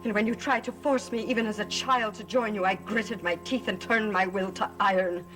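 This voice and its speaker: A woman's voice: medium pitch, fairly even intonation, normal speed, a bit bright, soft background music, lightly acted. A woman playing a defiant, wounded daughter of witches in a gothic soap opera. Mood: bitter. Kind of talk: gothic soap opera acting, slow and dramatic.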